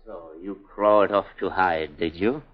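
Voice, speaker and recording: A man's voice: low-pitched, fairly even intonation, a little slow. An actor playing a sinister, power-mad man with a menacing, theatrical delivery in 1940s radio style. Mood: menacing, grandiose. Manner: theatrical, menacing, swinging from hushed to ranting. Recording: dull 1940s radio broadcast, music behind.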